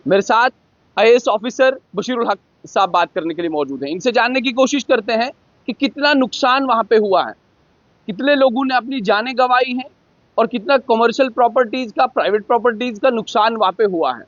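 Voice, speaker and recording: A woman with a high voice works as a news reporter, introducing and questioning a guest. The sound is very dry and a little dull, with almost no room around her. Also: a little fast, no echo. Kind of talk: news introduction and phone interview. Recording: slightly dull, dry audio.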